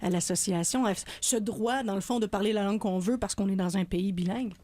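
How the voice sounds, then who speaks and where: A woman's voice: medium pitch, brisk, mellow, no echo. Woman interviewer asking long probing questions. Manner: probing, fillers while framing long questions. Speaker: journalist interviewer.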